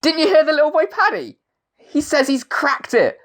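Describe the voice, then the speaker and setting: A woman's voice: sits high, expressive, normal speed, creaky. A woman playing an impatient, sardonic dead soul in an audio drama. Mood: excited. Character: impatient, sardonic dead person awaiting afterlife.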